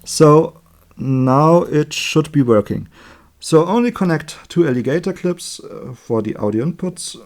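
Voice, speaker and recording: Male electronics hobbyist, native German with German accent, voice a bit higher than average. Informal demo delivery, halting and talkative while working. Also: animated, vocal fry, a little mellow. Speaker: electronics hobbyist demonstrating a circuit build. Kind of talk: informal hands-on tutorial, explaining while working.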